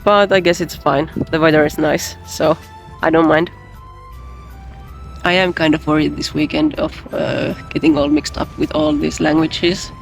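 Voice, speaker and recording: Young man, slightly high voice, gravelly fry, hesitant casual vlog talk. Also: level tone, normal speed, faint music behind, low-quality recording. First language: Finnish. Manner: hesitant, many ums and uhs. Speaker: convention artist vlogger.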